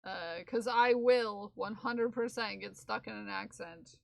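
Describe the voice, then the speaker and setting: A woman's voice: a little high, unhurried, vocal fry, dry recording. A young woman casually chatting on a live stream while she knits for charity. She rambles, giggles often and slips into playful bits of song. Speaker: livestreamer knitting for charity.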